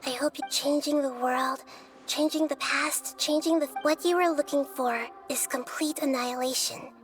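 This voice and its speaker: A woman plays a gentle little goddess with a high, youthful voice, wise beyond her size. The lines are measured and earnest video game dialogue, with music playing underneath. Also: fairly even intonation, natural voice. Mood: calm candour. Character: small, wise, gentle childlike female deity.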